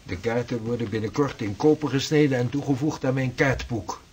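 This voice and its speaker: An actor playing an earnest sixteenth-century navigator and mapmaker in a period radio drama, speaking in formal, measured, archaic phrases. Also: male voice, mid-range voice, darkish tone, slight background noise, lightly acted. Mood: determined.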